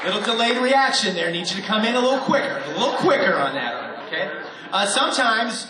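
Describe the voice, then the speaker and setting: A high-pitched man talks playfully to a live audience from a stage, coaxing them to cheer louder. His voice rings through an echoey hall. The crowd murmurs and claps behind him. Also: big pitch swings, quick pace. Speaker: host of a live radio theater show. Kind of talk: warm-up talk to a live audience. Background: audience noise and applause.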